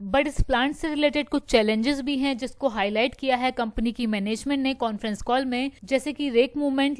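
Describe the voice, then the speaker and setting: A woman's voice: high-pitched, clean tone, no echo. A woman hosting a stock market news video, explaining steadily and slipping English phrases into her Hindi.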